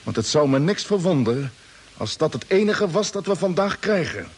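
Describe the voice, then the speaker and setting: An actor playing a decisive space expedition commander giving orders in a radio drama. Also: male voice, a little high, very animated, moderate pace, a little hiss or hum. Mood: determined. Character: commanding expedition leader Jeff. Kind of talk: 1950s radio drama acting.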